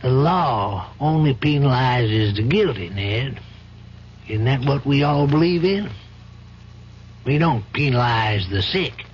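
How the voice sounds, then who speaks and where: An actor playing an older, compassionate judge in an old-time radio drama, reasoning in a measured, probing, paternal manner. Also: male voice, medium pitch, animated. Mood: earnest, admonishing. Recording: dull, faintly noisy old radio recording.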